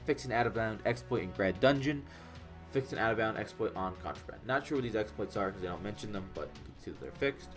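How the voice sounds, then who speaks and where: A young man with a low, nasal voice, audibly stuffed up from a cold, talks through game update notes in a loose, rambling way. He swears freely and restarts his sentences. Faint background music plays beneath him. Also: animated, fast talker. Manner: rambling, profane, frequent fillers and restarts. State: congested, under the weather. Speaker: gaming YouTuber. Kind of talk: casual commentary reading patch notes.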